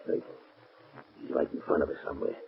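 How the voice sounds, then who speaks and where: An actor playing a bossy, scornful gangster lieutenant in an old radio crime drama, curt and full of slang. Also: male voice, brisk, lightly acted. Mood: tense. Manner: curt, commanding tough-guy slang. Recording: muffled old radio recording.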